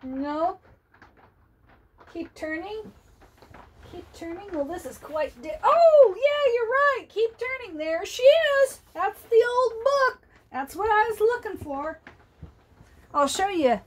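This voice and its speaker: Older woman as a children's storyteller: playful, chatty, high voice, slow and unhurried delivery. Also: very animated, slight background noise. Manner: asks the young listeners questions. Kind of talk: reading a picture book aloud to children.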